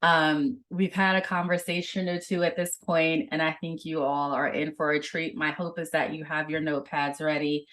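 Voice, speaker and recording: A woman's voice: sits low, little pitch movement, moderate pace, hardly any pauses, a bit bright. A woman hosting a podcast interview, enthusiastic and chatty, with many fillers. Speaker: podcast host.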